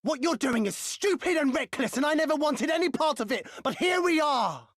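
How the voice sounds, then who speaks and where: An actor playing a defiant, guilt-ridden young rebel in a game cutscene, emotional, earnest and intense. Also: male voice, very high-pitched, animated, normal speed, fairly bright tone, no echo, studio quality. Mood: defiant anger. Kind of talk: dramatic game cutscene acting.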